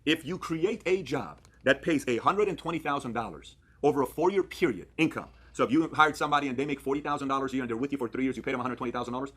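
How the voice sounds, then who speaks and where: Man from Iran, thirties, rapid-fire delivery, rough husky tone, earnest explainer, emphatic, question-and-answer phrasing. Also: mid-range voice, a bit bright. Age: 30s. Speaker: video presenter. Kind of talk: persuasive explainer presentation to camera.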